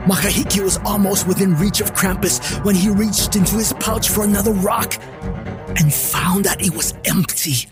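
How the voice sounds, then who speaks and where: Male storyteller over clear music. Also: high-pitched, fairly even intonation, normal speed. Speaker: podcast storyteller. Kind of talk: scripted dramatic story narration. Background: music under the narration.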